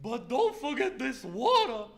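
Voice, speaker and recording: A man voicing an excitable, gushing female horse in a squeaky falsetto for a children's radio drama. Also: measured pace, heavily acted. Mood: gushing delight.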